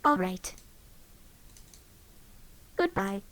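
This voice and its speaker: A woman voicing a calm, curious female robot companion with a clipped, even delivery. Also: medium pitch, very slow, exaggerated voice.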